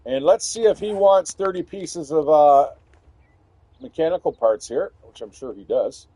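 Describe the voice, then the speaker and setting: Loud, swearing gamer man. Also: a little high, animated, unhurried, no echo. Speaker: gaming streamer. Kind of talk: casual gameplay commentary.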